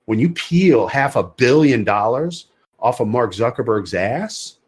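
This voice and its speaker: A man's voice: medium pitch, expressive, unhurried, husky. Man, podcast guest, emphatic, blunt, repeats himself. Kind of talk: casual podcast chat.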